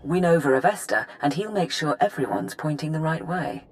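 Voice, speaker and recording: A woman's voice: sits low, lively intonation, brisk, slight background noise. A woman playing a pragmatic, businesslike Imperial intelligence officer briefing a commander in a video game. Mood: pragmatic, confiding.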